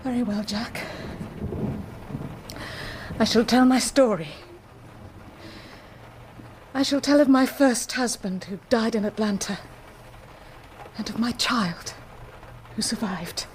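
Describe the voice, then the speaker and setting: An actress playing an anguished, dignified married woman confessing a secret, speaking formally and with deliberate passion in a period radio drama. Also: a little high, a little hiss or hum. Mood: grave resolve. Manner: formal, deliberate, impassioned, declamatory.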